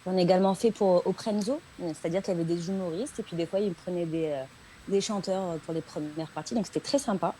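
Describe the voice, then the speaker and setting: A woman's voice: on the low side, quick pace, dark tone, slight background noise, poor audio. A woman from France, a singer chatting casually as an interview guest and repeating words for emphasis. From France, Paris region.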